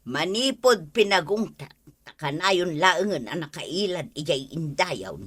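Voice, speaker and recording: A woman's voice: lowish voice, expressive, unhurried, a bit bright, breathy edge, heavily acted. A woman playing an assertive, no-nonsense scolding character in an Ilocano comedy drama, speaking emphatically with an Ilocano accent. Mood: wry.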